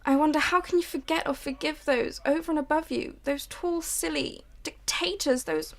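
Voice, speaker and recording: Young woman narrating with vocal fry. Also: high voice. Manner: measured, brooding storytelling. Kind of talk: scripted dramatic narration.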